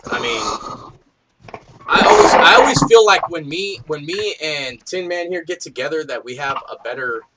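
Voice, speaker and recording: A man's voice: a little high, animated, moderate pace. A man hosting a casual, crude round table chat, rambling and repeating himself as he goes. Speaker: round table show host. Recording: distant noisy mic with clicks.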